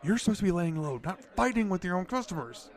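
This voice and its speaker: A measured, placating cafe colleague in an audio drama, played by a man. His voice is a little higher than most men's, and he reasons patiently to keep trouble away. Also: lively intonation. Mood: calm, cautious. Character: level-headed, placating male cafe colleague.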